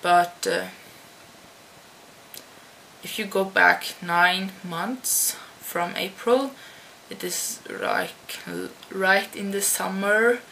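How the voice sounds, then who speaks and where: A Dutch-born teenage girl chatting to her webcam at home, answering tag questions slowly with many restarts. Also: medium pitch, steady tone, vocal fry, sharp tone, low-quality recording. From Netherlands. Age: teens. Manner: slow and halting, with many restarts. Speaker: vlogger. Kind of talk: casual talk to camera answering a tag. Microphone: computer webcam. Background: faint hum of computer fan.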